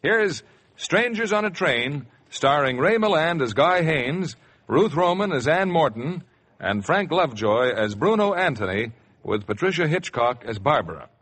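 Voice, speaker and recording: Husky, animated American radio announcer, male. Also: a little high. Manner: formal, measured, polished. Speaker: radio drama host and announcer. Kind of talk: formal 1950s radio announcing, scripted narration.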